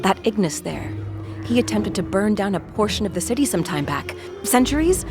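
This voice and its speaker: A woman's voice: medium pitch, very animated, normal speed, music in the background. A woman playing a curious, self-doubting young visitor to a fantasy city, wordy and earnest, in an audio drama. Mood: keen, opinionated.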